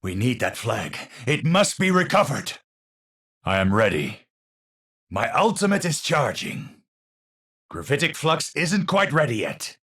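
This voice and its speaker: An actor playing a cerebral, haunted, middle-aged scientist in a video game, speaking formally and precisely with theatrical, pensive phrasing. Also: male voice, mid-range voice, sing-song, unhurried, fairly bright tone, breathy edge, exaggerated voice. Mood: composed. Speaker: video game hero character. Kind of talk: scripted game voice lines, theatrical.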